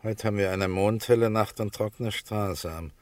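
An actor playing a brisk, matter-of-fact investigator in a crime radio drama. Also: male voice, fairly low pitch, natural voice. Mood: brisk, decisive.

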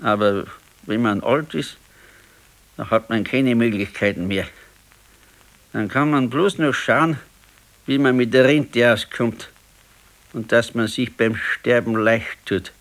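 An old Bavarian man in a naturalistic radio drama, a retired electrician chewing over his life. He speaks very slowly at a middling pitch, ruminating and stubborn, with a discontented streak. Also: a bit bright. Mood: resigned brooding. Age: older. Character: brooding, discontented old pensioner, former electrician, husband. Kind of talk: 1970s naturalistic dialect radio play.

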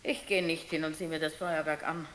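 A woman's voice: deep voice, flat delivery, a little fast, a bit bright, a little hiss or hum. An actress playing a practical, nagging middle-aged mother in a radio play. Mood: matter-of-fact. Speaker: actor. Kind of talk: German radio play acting. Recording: long reverb.

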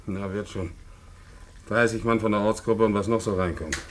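A man's voice: lowish voice, fairly even intonation, moderate pace, slight background noise, close to an everyday voice. An actor playing a brusque middle-aged Berlin tavern keeper and father in a German radio drama, speaking in blunt short commands. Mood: gruff, practical. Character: gruff working-class Berlin tavern keeper, father, communist.

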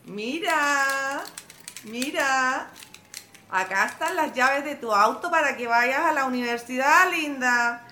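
A woman's voice: sits high, slow, bright, forceful tone, a little hiss or hum, exaggerated voice. An actress playing a well-off, middle-aged Chilean mother in a radio drama, doting but class-conscious.

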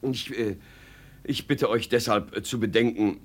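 An actor playing a kindly, moralizing village pastor with an unctuous preaching manner, in 1950s German radio drama style. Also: male voice, on the low side, fairly even intonation, slightly rough. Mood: pious, gentle. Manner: unctuous, measured preaching with hesitations.

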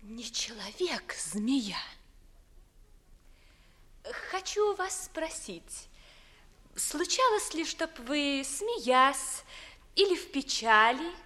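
An actress playing a cool, haughty young lady with deliberate, pointed delivery, in 1950s Russian theatrical radio verse. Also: sits high, very animated, sharp tone, exaggerated voice. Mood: icy, pointed. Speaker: stage actor.

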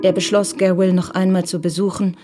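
A woman narrating a crime radio play in a detached, measured, matter-of-fact way. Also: fairly low pitch, flat delivery, mellow, faint music behind, close to an everyday voice.

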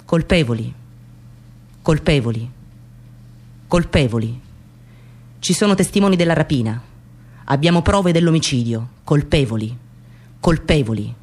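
An actress playing a relentless, accusing public prosecutor in radio theatre, hammering out repeated single words. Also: female voice, low voice, animated, measured pace, pauses often, darkish tone. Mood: stern, relentless.

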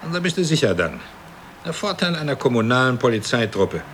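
A man's voice: medium pitch, sing-song, a little fast, a bit bright. A man playing a smug, cynical well-off husband in a radio drama, dismissive and mocking, with noisy ambience behind him.

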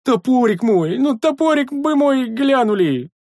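A man's voice: sing-song, unhurried, dry recording, clean studio sound, exaggerated voice. A man doing a wailing young sentry. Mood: pleading. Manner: high, wailing, pleading shouts. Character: excitable young male wall sentry, pleading.

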